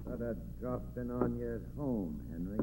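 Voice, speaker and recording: An actor playing a cold, impatient escaped convict in a 1940s radio drama, talking in tough, clipped gangster style. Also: male voice, mid-range voice, normal speed, vocal fry, warm tone, natural voice. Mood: threatening. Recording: noisy, humming old radio recording.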